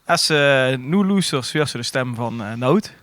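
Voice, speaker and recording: A man's voice: medium pitch, animated, unhurried, a bit bright, no room sound. A man with a Limburgish Dutch accent co-hosting a podcast in casual, bantering chat. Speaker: podcast co-host.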